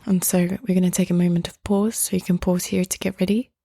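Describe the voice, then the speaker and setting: A woman hosting a guided meditation in a soothing, gentle, unhurried manner, leaving long pauses between phrases. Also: lowish voice, flat delivery, creaky, no room sound, studio quality.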